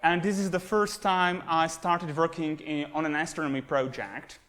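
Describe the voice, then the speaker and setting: A man's voice: a little high, normal speed, slight background noise. Young Slovak man lecturing in a classroom. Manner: relaxed, self-deprecating, with frequent fillers, pointing at slides. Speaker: guest lecturer, astronomy postdoc. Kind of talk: university lecture. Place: classroom with projector and computers. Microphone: camera at the back of the room.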